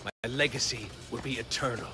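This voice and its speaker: A megalomaniac game scientist, proud and grandiose. He declaims in a sharp, forceful, mid-pitched voice, with faint music behind. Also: brisk. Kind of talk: video game voice acting.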